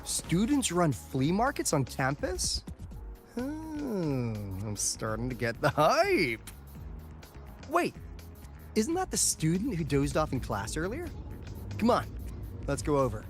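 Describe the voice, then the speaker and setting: A man's voice: a little high, huge pitch swings, takes it slowly, long pauses, soft background music, exaggerated voice. A man voicing a curious, easygoing young scout in a video game, talking conversationally.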